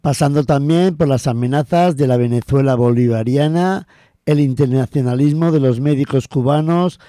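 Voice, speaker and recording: A man hosting a radio program, reading scripted narration in a measured way. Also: medium pitch, dark tone. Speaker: radio host. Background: music.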